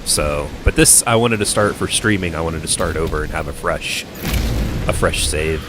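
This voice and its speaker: A middle-aged man, hoarse and croaky after being sick, rambling through laid-back live gameplay commentary. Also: rumbling low, normal speed. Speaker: game streamer. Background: game music.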